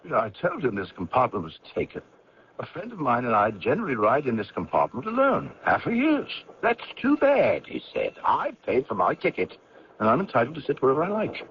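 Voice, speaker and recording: A man's voice: medium pitch, wildly swooping pitch, a little fast. A man acting in an old-time radio drama as a glib, evasive, outwardly chummy commuter with a breezy, emphatic manner. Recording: dull, hissy old radio recording.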